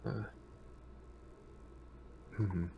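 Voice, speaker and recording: A man's voice: drawn-out words, dull audio. A man chatting casually as a tabletop game player, hesitant and stammering, often repeating himself.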